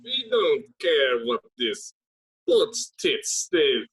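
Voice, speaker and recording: A woman's voice: deep voice, sing-song, very slow, slightly rough, full character voice. A woman playing a blunt, hostile flightless bird hijacker with broken, clipped phrasing in a comedy table read. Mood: defiant.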